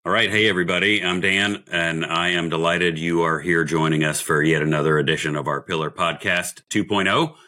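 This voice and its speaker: A man hosting a podcast, his voice scratchy from days of shouting, talking in a jovial, teasing, rambling way. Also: low-pitched, steady tone, fairly quick, few pauses. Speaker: podcast host. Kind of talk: casual podcast chat.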